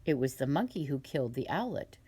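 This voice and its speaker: Stern lion king voiced by a woman, loud, formal, storybook reading. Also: rumbling low, big pitch swings, fairly quick, breathy edge, full character voice. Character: commanding male lion king, stern judge.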